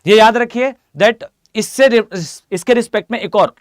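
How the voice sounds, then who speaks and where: A hoarse man with an Indian accent teaching a live online class, often asking students to reply. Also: high-pitched, a little slow, no echo, studio quality. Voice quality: mixes Hindi with English phrases. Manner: addresses students directly, asks them to reply.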